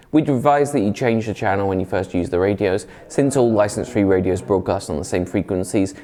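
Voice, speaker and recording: A man's voice: fairly low pitch, mellow. A man presenting a scripted product review in an informal, explanatory way.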